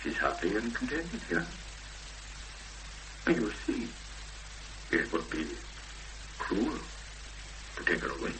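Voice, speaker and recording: An actor playing a grave, persuasive Welsh chapel minister with solemn, measured speech in a 1970s radio drama. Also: male voice, fairly low pitch, sing-song, sharp tone, exaggerated voice. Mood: grave persuasion. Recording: noisy old radio broadcast recording with hum.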